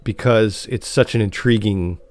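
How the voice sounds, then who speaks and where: A man's voice: fairly low pitch, moderate pace, a little mellow. An American man from Chicago co-hosting a podcast in casual conversation, informal and a little hesitant as he circles back mid-thought. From Chicago, United States. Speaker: podcast co-host. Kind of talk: casual chat about TV news.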